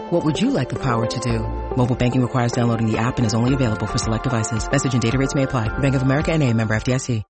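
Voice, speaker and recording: A man reading scripted advertising narration as a commercial voice-over. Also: medium pitch. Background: loud music.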